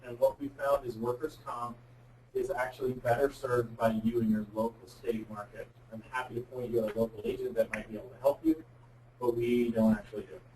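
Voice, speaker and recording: A man's voice: medium pitch, flat delivery, fairly quick, a little mellow. A man giving candid, plain-spoken explanations as a guest expert on a web conference call. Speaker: guest speaker, insurance representative. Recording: roomy, distant mic with faint noise.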